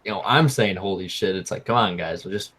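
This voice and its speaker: A man's voice: low-pitched, fairly quick, fairly bright tone. Young man co-hosting with easy laughs. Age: 20s. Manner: laughs often, stammers when starting. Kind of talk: casual podcast chat.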